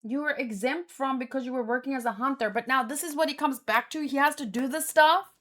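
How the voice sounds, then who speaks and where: A woman reading dialogue aloud in an informal, expressive way, mixed with casual commentary of her own. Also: high-pitched, fairly quick. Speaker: manga read-along host.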